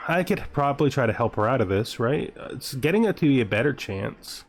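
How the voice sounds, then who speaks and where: A man's voice: medium pitch, expressive, fairly quick. Rambling man doing game commentary over music. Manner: rambling, thinking aloud, often asking himself questions. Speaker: gaming video host. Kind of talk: casual let's-play commentary on a strategy game. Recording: background music under the voice.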